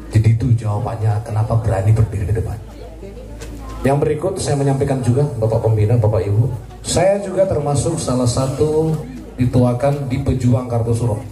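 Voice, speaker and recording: A man, a military officer, giving a joking, lively speech at a community gathering. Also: mid-range voice. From Indonesia. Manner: jokey and lively, laughs at his own jokes. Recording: echoey, distant, crowd noise.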